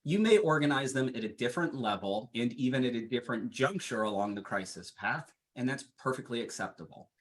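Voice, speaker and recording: A man delivers a training webinar from his slides. His voice sits at an ordinary middle pitch, and he reads at a steady, even pace. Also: brisk, few pauses. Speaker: presenter.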